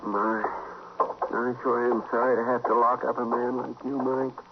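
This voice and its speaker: A man's voice: mid-range voice, little pitch movement, unhurried, close to an everyday voice. An actor playing an earnest, deferential frontier lawman's helper with a folksy in a 1950s radio western. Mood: earnest, sympathetic. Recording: muffled, noisy vintage 1950s radio recording.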